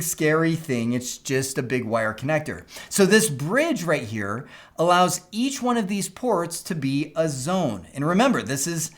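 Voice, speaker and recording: Male instructor, pitched a little above average, for a casual technical walkthrough. Conversational delivery that keeps checking the listener follows. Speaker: instructor explaining school PA systems. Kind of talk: informal technical explainer with acted-out asides.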